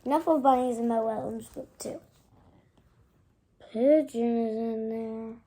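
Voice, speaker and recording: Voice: medium pitch, steady tone, slow pace, dark tone. A young child reading a picture book aloud expressively, acting out characters and adding asides. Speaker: storybook reader.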